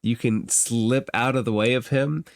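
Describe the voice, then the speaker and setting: A man narrating a tabletop fantasy game as game master, describing scenes in improvised, halting phrases and thinking aloud. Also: medium pitch, steady tone, no room sound.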